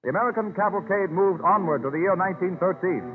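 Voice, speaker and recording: A man's voice: sits high, fast talker, phone-quality sound, a little hiss or hum. A man narrating history in a formal, declarative 1930s radio style. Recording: phone-thin 1930s radio recording with music. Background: music.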